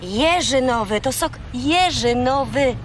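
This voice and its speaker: A woman's voice: high voice, lively intonation, slow pace, a little hiss or hum. An actress playing a bossy, exasperated young girl who scolds and corrects in a children's radio play. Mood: exasperated, scolding.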